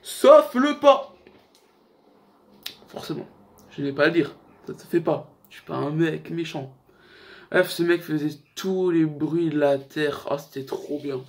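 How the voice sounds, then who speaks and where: Young guy doing a youtube list, voice a bit high, jokes around, slangy and rambly. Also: expressive, unhurried, pauses often. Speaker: YouTube host. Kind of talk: casual chat to camera, countdown list.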